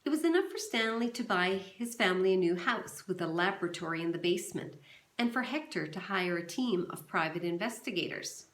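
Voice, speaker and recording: A woman teacher reading a novel aloud to her class in a calm, steady storytelling manner, recorded in a roomy, echoey space. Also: lowish voice, fairly quick. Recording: roomy echo.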